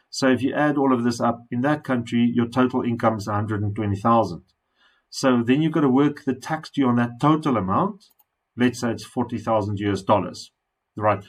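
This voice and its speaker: A man with a South African accent, an accountant walking through tax calculations on screen in a conversational, step-by-step way. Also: medium pitch.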